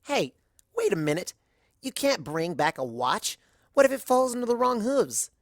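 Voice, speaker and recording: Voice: medium pitch, very animated, normal speed, no echo, clean studio sound, voice pushed up. A narrator as a brainy, awkward pony mare. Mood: protesting. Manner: wordy, hedging, awkward. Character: anxious, brainy young female pony student of magic.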